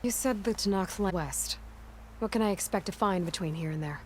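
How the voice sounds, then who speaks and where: A woman's voice: mid-range voice, a little fast, slight background noise, lightly acted. A woman playing a determined, inquisitive young warrior in a video game cutscene, with a plain, direct manner. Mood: inquisitive, serious.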